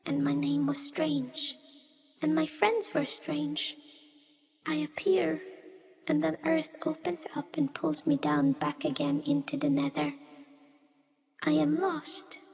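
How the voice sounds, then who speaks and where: A woman's voice: sits high, fairly even intonation, slow, gravelly, long pauses, darkish tone, faint music behind, heavily acted. A woman playing a weary, cryptic otherworldly small creature in a poetic radio play, speaking in solemn riddles. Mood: weary, fed up. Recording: long cavernous reverb.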